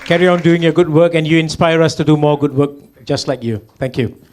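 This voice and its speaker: A man's voice: on the high side, fairly even intonation, fairly quick, darkish tone, some chatter behind, slight background noise. A man hosting an award ceremony, making formal announcements with fillers between them. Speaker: emcee.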